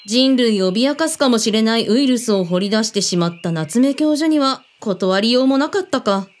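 An actress plays a shrewd female ex-agent with a fairly high, very animated voice. She sounds skeptical and probing, using terse, mannish phrasing in radio drama style. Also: moderate pace, close to an everyday voice. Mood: serious, probing. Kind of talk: Japanese radio drama acting.

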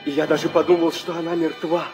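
A man's voice: a little high, level tone, quick pace, natural voice. An actor playing a wounded, loyal, dying king of eagles in a 1960s audio drama. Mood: weary, solemn. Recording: old studio recording under loud music.

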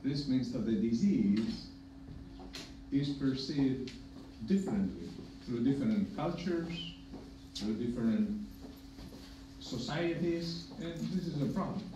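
A man's voice: medium pitch, animated, dark tone, distant mic. Greek-accented man in an echoey room. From Greece. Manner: measured, emphatic, with dry humor. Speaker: hepatologist panelist. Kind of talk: conference panel remarks. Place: conference room with projector. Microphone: podium mic, filmed from far in the room. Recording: echoey room from afar, dull and noisy.

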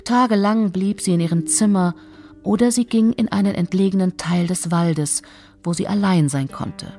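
A woman's voice: on the low side, normal speed, mellow, faint music behind. A woman reading scripted fairy-tale narration as the storyteller.

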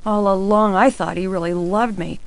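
A woman acting a sharp-tongued, dramatic TV studio insider in a video game, with a snippy, theatrical delivery. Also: medium pitch, steady tone, normal speed, clear, resonant tone, dry recording, lightly acted. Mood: bitter. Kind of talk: acted video game dialogue.

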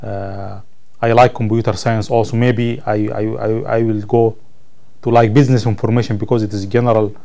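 A Sudanese man whose first language is Arabic speaks English with a Sudanese Arabic accent. His voice is low and very mellow, with a gravelly creak. He answers interview questions haltingly, pausing to search for words. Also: little pitch movement. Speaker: college ESL student being interviewed. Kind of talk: interview answers about own life. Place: indoor room, seated on camera.

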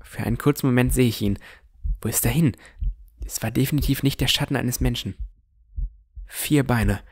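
An actor playing a puzzled but determined young armored swordsman who narrates his fantasy audio drama in a measured, introspective way. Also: medium pitch, close to an everyday voice. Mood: puzzled, resolute. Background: music.

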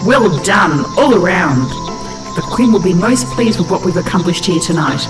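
A woman's voice: fairly low pitch, animated, moderate pace, close to an everyday voice. A woman voicing a commanding, calculating changeling mother in a cartoon, speaking formally. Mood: satisfied, scheming. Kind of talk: animated cartoon voice acting. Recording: roomy echo under loud music.

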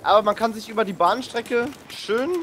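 A man's voice: high voice, moderate pace, fairly bright tone, a little hiss or hum. A young man casually commentating a game with a friend, using slang and English exclamations. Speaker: gaming video commentator. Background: shooter game gunfire and ambience.

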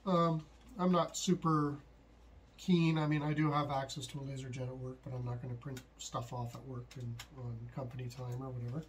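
A middle-aged man giving a casual, unscripted how-to explanation, halting and thinking aloud as he goes. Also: mid-range voice, flat delivery, slight background noise. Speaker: hobbyist host of a DIY tutorial. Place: small indoor home workshop at a desk, later at a computer.

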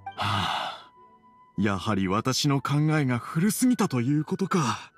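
Husky, self-doubting middle-aged father. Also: medium pitch, big pitch swings, unhurried, faint music behind. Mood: resigned. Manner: reserved, polite, hesitant. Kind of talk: video game dialogue acting.

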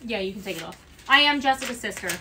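A chatty woman with an Italian-American Bronx accent talks casually on a livestream, reading out orders and viewer comments. Her voice sits a little high. Steady background noise and clicks from a small office fill the recording. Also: very fast. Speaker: livestream co-host packing orders.

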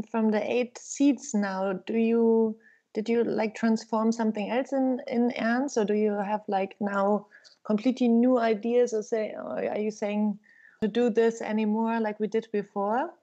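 Young woman, mellow dark voice, hesitant questions, interviewer, dull lo-fi video call audio. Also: fairly high pitch, steady tone, unhurried.

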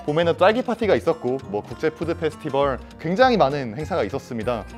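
A man in his twenties hosting a playful student chat with a lively, teasing manner. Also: fairly high pitch, fast talker. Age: 20s. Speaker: host of a student roundtable. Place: large indoor lounge with bean bags. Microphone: clip-on lapel mic. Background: light background music.

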